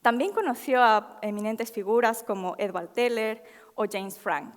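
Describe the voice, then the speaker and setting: Young woman scientist giving a talk: fairly high voice, earnest, with small filler pauses, reverberant hall. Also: expressive. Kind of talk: public talk to an audience. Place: lecture hall.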